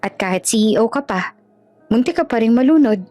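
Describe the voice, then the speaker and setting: A woman's voice: on the high side, lively intonation, a little fast, warm tone, faint music behind, lightly acted. A woman voicing a feisty, blunt and emotional young provincial woman in a romance audio drama. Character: feisty, plain-spoken young provincial woman, anxious but brave.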